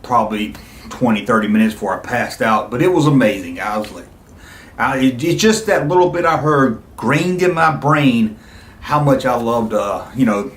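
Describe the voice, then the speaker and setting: A middle-aged man hosts a casual video, reminiscing in an enthusiastic, rambling way. His pitch sits in the middle for a man. The sound is noticeably roomy, with audible echo around his conversational delivery. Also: animated, moderate pace, fairly bright tone. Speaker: YouTube video host. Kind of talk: casual nostalgic reminiscing to viewers.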